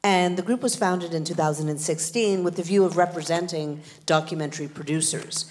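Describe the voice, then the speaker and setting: A middle-aged woman on a theater stage, explaining her producers' group to a conference audience in a measured, matter-of-fact way. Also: lowish voice, a little mellow, slight background noise. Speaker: panelist, producers' group board member. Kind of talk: conference talk. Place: large dark theater stage. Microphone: handheld microphone.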